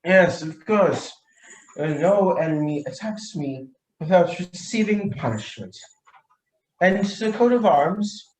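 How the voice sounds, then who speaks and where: A man acting a tipsy, jovial, foolish nobleman in a radio play, slurred and halting with giggly rambling. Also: high-pitched, lively intonation, darkish tone, a little hiss or hum. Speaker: student actor in a radio play. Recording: echoey room recording.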